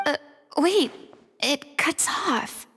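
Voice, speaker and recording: A young woman playing an earnest, compassionate investigator in a video game, speaking in a pensive, measured way. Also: high-pitched, expressive, a bit bright, slight background noise, full character voice. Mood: somber. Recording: long reverb with music behind. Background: background music.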